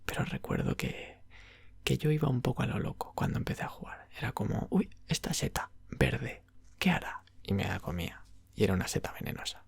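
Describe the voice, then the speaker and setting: Spanish guy from spain doing asmr, half whispering, super creaky and breathy, rambly chat, very dry close sound. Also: mid-range voice, very animated, no echo. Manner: unhurried, rambling. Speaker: ASMR roleplayer as video game shop clerk. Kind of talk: ASMR roleplay, soft casual chat about games.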